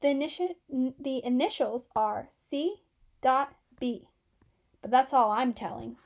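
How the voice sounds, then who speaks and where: A woman's voice: sits high, measured pace, creaky. A woman voicing a miserable, put-upon male schoolteacher in a children's storybook, weary and reproachful. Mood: firm. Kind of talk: children's storybook read-aloud.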